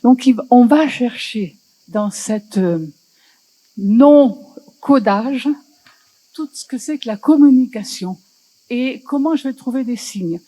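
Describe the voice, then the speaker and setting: A woman's voice: a little high, animated, slow, long pauses, dark tone, low-quality recording. A woman in the audience speaks passionately about language, often searching for her words. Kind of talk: festival panel discussion.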